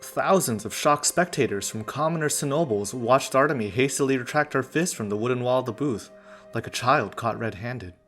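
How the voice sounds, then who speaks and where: A man's voice: medium pitch, expressive, moderate pace, fairly bright tone, soft background music. A man reading scripted story narration from a visual novel in a dramatic style.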